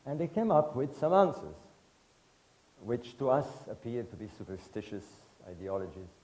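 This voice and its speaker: A man in his sixties from Egypt, giving a public lecture to an audience in emphatic, rhetorical bursts of short phrases with pauses. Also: mid-range voice, sing-song, warm tone, rough recording. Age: 60s.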